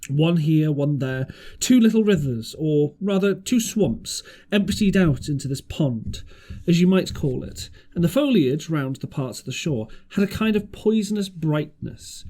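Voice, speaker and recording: A man's voice: fairly high pitch, warm tone. A man reading an adventure novel aloud as an amateur audiobook narrator, occasionally stumbling over words.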